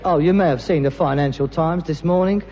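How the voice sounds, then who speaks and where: A man's voice: a little high, fairly quick, warm tone, close to an everyday voice. An actor playing a bitter, pretentious rag-and-bone man in a British sitcom, with a London accent and a sarcastic, mock-grand delivery. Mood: sly. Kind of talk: British sitcom acting before an audience. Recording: muffled, noisy, reverberant old sitcom soundtrack.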